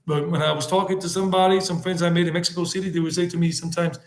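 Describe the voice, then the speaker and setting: A man talks casually on a live stream, rambling from point to point, answering viewers and dropping Spanish words into his talk. His voice sits a bit high for a man. The room gives it a noticeable echo. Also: little pitch movement, very fast. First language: English. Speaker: travel vlogger hosting a live stream.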